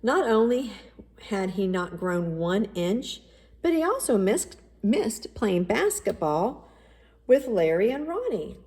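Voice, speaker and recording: A woman reading a children's story aloud in an expressive, deliberate storytelling style. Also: mid-range voice. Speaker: storybook reader. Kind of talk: scripted children's story narration.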